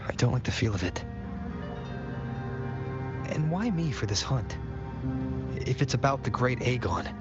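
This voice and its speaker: A man's voice: mid-range voice, animated, unhurried, pauses often, lightly acted. A man playing a serious, wary young champion gladiator in a fantasy video game, reserved and thoughtful in delivery. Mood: uneasy. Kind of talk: restrained fantasy game dialogue. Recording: muffled game audio under music.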